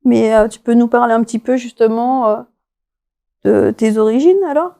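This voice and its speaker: A woman's voice: a little high, normal speed, gravelly, dark tone. A woman hosting a television interview, asking her guest questions in a conversational way. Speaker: interview show host.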